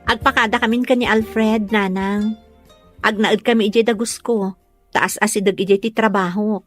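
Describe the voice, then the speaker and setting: Woman acting a gentle, dutiful young daughter. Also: medium pitch, fairly even intonation, moderate pace, soft background music, close to an everyday voice. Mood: earnest. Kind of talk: comedy audio drama acting.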